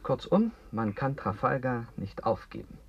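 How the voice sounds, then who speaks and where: A man acting an anxious, philosophising character in a German radio play, declamatory and rough-edged. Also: medium pitch, big pitch swings, measured pace, muffled recording, noisy background. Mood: urgent, insistent.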